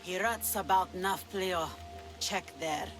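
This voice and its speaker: A woman's voice: lowish voice, slow pace, over music. An actress playing a sly, imperious, treasure-loving pirate queen of mature years, with a languid, theatrical delivery in a video game cutscene. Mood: commanding. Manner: languid, commanding, teasing, theatrical.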